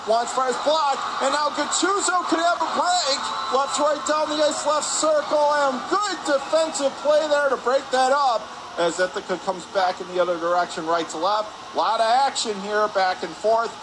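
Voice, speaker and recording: A high-voiced man does live radio play-by-play of a hockey game. His delivery is excitable and climbs whenever there is a shot or save. Clear arena noise runs behind him. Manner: excitable, voice rising on shots and saves. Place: indoor ice hockey arena. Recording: noisy rink ambience behind the voice.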